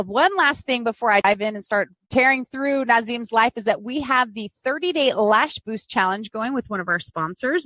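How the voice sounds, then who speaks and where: A woman's voice: a little high, fairly bright tone, no echo. A woman hosting a live-streamed talk show, chatty and bubbly, greeting viewers by name and plugging links. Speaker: podcast host.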